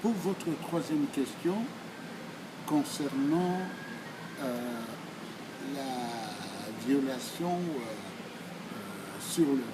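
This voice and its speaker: A man in his sixties with a Congolese accent, giving formal answers in a deliberate, emphatic, oratorical way, phrase by phrase. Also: medium pitch, background noise. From Democratic Republic of the Congo. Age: 60s. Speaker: speaker answering questions.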